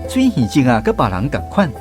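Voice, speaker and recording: A man's voice: medium pitch, huge pitch swings, brisk. A man narrating a village story in a radio drama, looking back on his childhood. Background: music.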